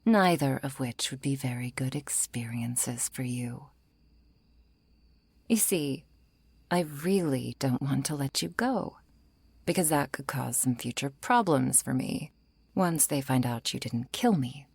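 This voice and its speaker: Woman as a very deep, breathy vampire seductress. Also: no room sound, heavily acted. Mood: coaxing, menacing. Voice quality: low, breathy, creaky. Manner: slow, coaxing, softly threatening, often whispering. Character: seductive, menacing undead female vampire, calm and hungry. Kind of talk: intimate ASMR roleplay.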